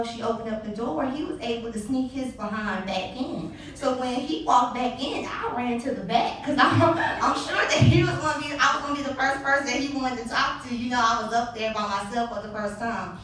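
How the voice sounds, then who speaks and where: A young adult woman telling a personal story live on stage, animated and sassy with laughing asides. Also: on the high side, a little hiss or hum. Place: live event venue. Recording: echoey hall, distant mic.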